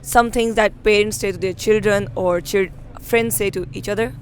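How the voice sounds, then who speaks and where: Street interviewer, male, high voice, short brisk prompts, faint traffic underneath. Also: steady tone. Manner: short prompts, frequent "okay, great". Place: outdoors on a city street.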